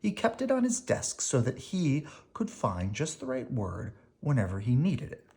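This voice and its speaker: A person reading a children's picture book aloud, expressively and deliberately, with dramatic emphasis and short explanations for young listeners. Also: mid-range voice, wildly swooping pitch, mellow. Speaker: storybook reader explaining words to children.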